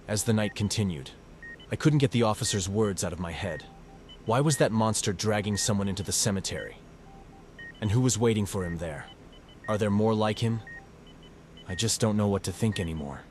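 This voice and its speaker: A man acts a young doctor carrying guilt in a horror audio drama. His voice is fairly low, measured and earnest. There is clear ambient noise behind him. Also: a little mellow, close to an everyday voice. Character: troubled young male doctor, earnest and guilt-ridden narrator. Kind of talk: horror audio drama dialogue and first-person narration.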